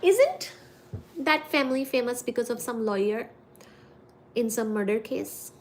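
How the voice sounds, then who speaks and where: High-voiced, slow-talking woman livestreamer. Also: a little hiss or hum. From India. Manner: chatty, giggly, gets excited reading the chat. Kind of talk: casual livestream chat with viewers. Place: home room with cat shelves.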